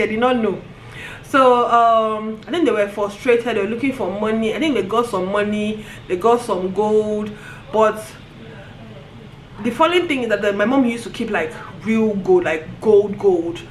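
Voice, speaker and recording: A woman with a Nigerian accent telling a story on her vlog in an animated way, often breaking into laughter. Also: medium pitch, a little hiss or hum. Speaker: vlogger. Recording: roomy echo, faint ambience.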